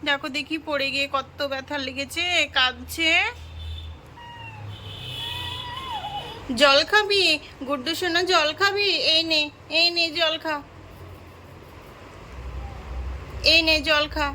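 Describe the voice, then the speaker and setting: A woman's voice: sits high, normal speed, sharp tone, a little hiss or hum, poor audio. A woman playing a kind village mother who soothes a hurt toddler with repeated words. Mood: scolding gently. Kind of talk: home family skit.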